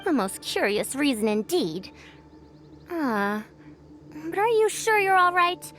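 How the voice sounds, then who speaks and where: A proud lady scholar from an anime-style game, high-pitched and very expressive. Her diction is formal and quaint, a schoolmistress elder in a youthful voice, over light music. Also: slow pace, full character voice. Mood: proud, inquisitive. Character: proud young-sounding woman scholar who talks like an elder.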